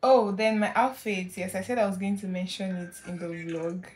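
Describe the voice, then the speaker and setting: A young woman, chatting casually at home as a lifestyle vlogger, relaxed and gushing with soft laughs. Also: mid-range voice, moderate pace. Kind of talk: casual chat to camera.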